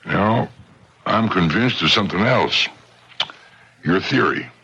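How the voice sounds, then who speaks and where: An actor playing a measured, skeptical man in a radio mystery drama, speaking deliberately. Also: deep voice, lively intonation, bright, forceful tone, husky, exaggerated voice. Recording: muffled vintage radio drama recording.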